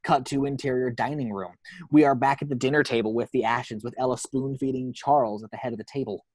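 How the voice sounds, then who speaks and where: A man narrates the scene directions of a film script. His voice sits at an average pitch, and the sound is dry, without room echo. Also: fairly even intonation, fast, darkish tone. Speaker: reader of action descriptions. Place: at home.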